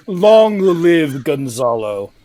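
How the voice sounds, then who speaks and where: A man's voice: on the high side, very animated, extremely slow, warm tone, exaggerated voice. A man playing a sly, smugly mocking nobleman in a Shakespeare audio drama, recorded remotely. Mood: jeering.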